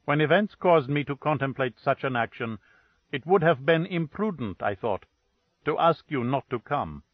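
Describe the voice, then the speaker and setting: A man reading the lines of a calm, calculating ambassador in a slow, measured way. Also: a little high, no echo, lo-fi sound. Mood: calm cold.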